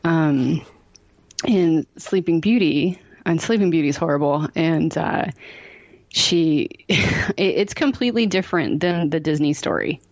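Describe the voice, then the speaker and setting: A woman's voice: low-pitched, measured pace, no room sound, highs cut off. A woman guesting on a podcast, chatting casually and effusively, repeating herself for emphasis.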